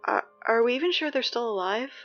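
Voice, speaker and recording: A woman's voice: fairly high pitch, lively intonation, fast talker, highs cut off, soft background music, natural voice. A woman playing a sarcastic, exasperated teenage girl who reads school announcements in a casual, conversational audio drama style. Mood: concerned, dry. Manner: snarky, conversational, dry.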